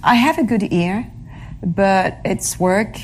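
A woman being interviewed about her acting career, speaking haltingly and often pausing to search for the right English word. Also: medium pitch, slight background noise. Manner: halting, searches for English words, many fillers. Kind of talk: reflective interview answers.

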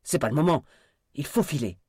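A man's voice: medium pitch, expressive, a little fast, a little mellow, husky, dry recording, clean studio sound. A man reading an audiobook, voicing a desperate, defiant male villain with a brutal, commanding, hot-tempered manner. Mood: furious. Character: desperate, defiant man threatening with a deadly virus spray.

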